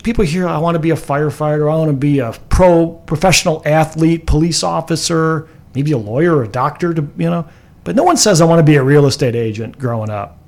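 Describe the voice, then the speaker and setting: A man in his fifties from the United States co-hosts a talk show with a slightly raised pitch. He rambles and teases in an easy, chatty way. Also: quick pace, darkish tone. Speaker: co-host. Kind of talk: casual radio talk show.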